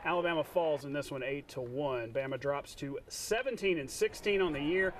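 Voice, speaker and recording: A man co-anchoring a TV sportscast, narrating highlights in an informal, jokey way with playful banter. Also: moderate pace, far from the mic, noisy background. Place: TV studio.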